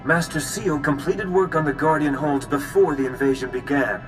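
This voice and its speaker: An actor playing a grave, determined army commander briefing in a video game. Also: male voice, a little high, moderate pace, lightly acted. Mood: resolute. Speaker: military officer giving briefing. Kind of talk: video game cutscene acting. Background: music.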